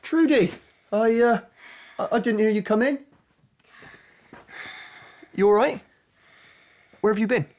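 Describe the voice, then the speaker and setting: An actor playing an eager, teasing, curious friend in a naturalistic radio drama, wry and probing. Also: male voice, high-pitched, unhurried, long pauses, a little mellow. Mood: flustered, concerned.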